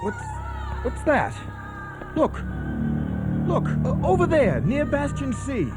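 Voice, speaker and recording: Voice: high-pitched, wildly swooping pitch, slow pace, loud music behind the voice, full character voice. An actor playing a frightened soldier on night watch in a radio drama, stammering with fear. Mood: alarmed. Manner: stammering, fearful. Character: frightened night sentry soldier, high and startled.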